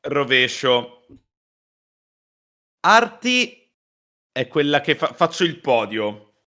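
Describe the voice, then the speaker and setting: A man chatting casually as co-host of a stream, telling teasing anecdotes in an animated way and mimicking quoted lines. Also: medium pitch, slow pace.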